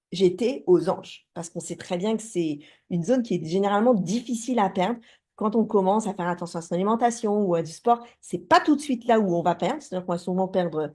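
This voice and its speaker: A woman with a soft, mellow voice hosts an online video call. She talks chattily, walking through her own results before passing the word to a guest. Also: mid-range voice. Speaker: host. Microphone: video call mic.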